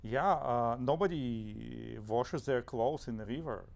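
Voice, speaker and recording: A man's voice: medium pitch, slow, gravelly. Hesitant man with a Russian accent. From Ukraine. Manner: hesitant, many fillers and restarts. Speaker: guest. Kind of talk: conversational interview.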